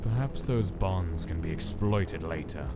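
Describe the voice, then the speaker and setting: A man voicing a detached male scientist who narrates his observations in a clinical, measured way. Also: sits low, loud music behind the voice. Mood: calculating. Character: cold calculating male scientist studying his subjects. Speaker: narrator of a comic dub. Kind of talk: inner-monologue narration.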